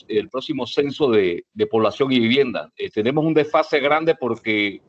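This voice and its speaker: A man's voice: medium pitch, highs cut off. A man asking a long, formal question at a panel, hesitant and restarting often. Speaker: audience member asking a question.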